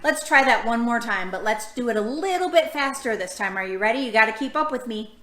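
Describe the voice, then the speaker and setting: A woman's voice: on the high side, lively intonation, a little fast, a bit bright. A woman leading a storytime for young children, reading aloud and asking her listeners questions in an encouraging way. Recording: roomy, echoing room sound.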